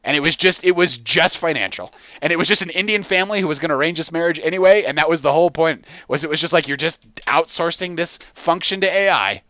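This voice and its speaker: A man's voice: a little high, fast, few pauses, no echo. A man hosting a podcast, rambling casually through stories, acting out imagined quotes and swearing now and then. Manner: animated storyteller who acts out imagined quotes, swears casually. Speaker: podcast host.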